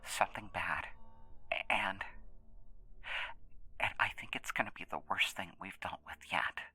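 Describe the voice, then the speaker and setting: An actor playing an anxious, earnest young man in an audio drama interview, speaking haltingly and repeating words. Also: sits low, fairly even intonation, pushed and bright, breathy edge, in a whisper, heavily acted. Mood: worried, pleading. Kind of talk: naturalistic audio drama acting.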